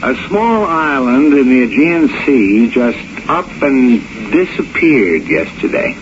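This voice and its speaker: An actor playing a grim, knowing, cynical confidant in a 1970s radio drama, blunt and sardonic, on a muffled, noisy old recording. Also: male voice, mid-range voice, very animated. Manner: deliberate, sardonic, blunt delivery.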